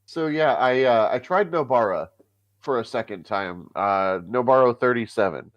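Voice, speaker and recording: A man with a stuffy, congested voice, co-hosting a casual tech podcast over a remote call and pausing often with filler sounds. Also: mid-range voice, lively intonation, lo-fi sound. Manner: halting, many filler sounds. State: congested from a cold. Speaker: podcast co-host.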